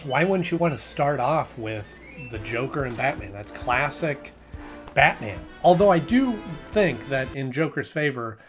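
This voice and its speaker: A man's voice: medium pitch, lively intonation, a little slow, soft background music. A man in his thirties giving a casual, conversational episode review to camera in a small room at home, often restarting his sentences. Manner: conversational, with frequent restarts and hedging. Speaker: show reviewer.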